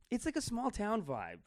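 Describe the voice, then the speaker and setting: Lively, excitable man. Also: sits high, no room sound. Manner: animated, excitable, laughs often. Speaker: comedian and podcast co-host. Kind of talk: casual banter.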